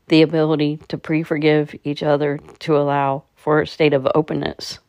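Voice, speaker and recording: Woman guiding meditation, very even pitch. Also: dry recording, studio quality. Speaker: meditation guide. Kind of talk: guided meditation narration.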